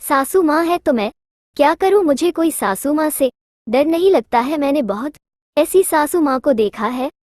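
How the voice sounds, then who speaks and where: Woman playing a high-voiced, bold young daughter-in-law. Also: lively intonation, normal speed, darkish tone, no echo, studio quality. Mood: defiant. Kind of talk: acted dialogue in a moral story cartoon.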